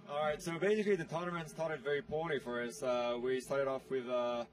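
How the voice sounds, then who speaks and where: A man's voice: mid-range voice, fairly even intonation, brisk, recorded from a distance. A young man from France, giving a casual recap as an interview guest. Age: 20s. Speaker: interview guest, pro gamer. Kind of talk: casual post-tournament recap.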